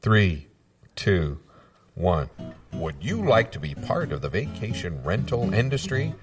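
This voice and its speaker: A man playing a rambling, unsure small-town radio announcer in improvised comedy, halting and trailing off as he goes. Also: sits low, sing-song, recorded from a distance, over music. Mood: flustered.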